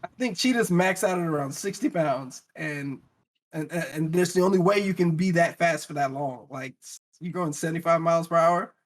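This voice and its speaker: Casting: American male podcast co-host, notably high voice, casual, opinionated, leans on repetition. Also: moderate pace. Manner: opinionated, repeats phrases for emphasis. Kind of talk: casual chat.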